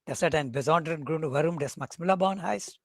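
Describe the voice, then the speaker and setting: A man's voice: fairly high pitch, fast talker, no echo. A man from South India with an Indian accent and Tamil as his first language, lecturing in a webinar with dry, wry asides. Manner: wry asides, switches between German and English. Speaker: professor speaking at a webinar. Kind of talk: academic talk.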